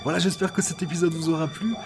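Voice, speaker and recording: A man's voice: a little high, fairly even intonation, quick pace, over loud music. A man hosting a solo podcast outdoors, talking casually and rambling with many fillers. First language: French. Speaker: podcast host.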